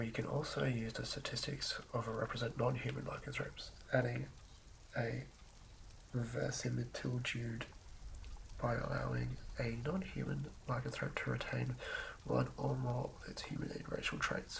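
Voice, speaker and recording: A man doing a soothing ASMR reading of fantasy game lore in a hushed, breathy whisper, occasionally stumbling over words. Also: medium pitch, flat delivery, moderate pace. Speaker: ASMR host. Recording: lo-fi recording with clear background noise.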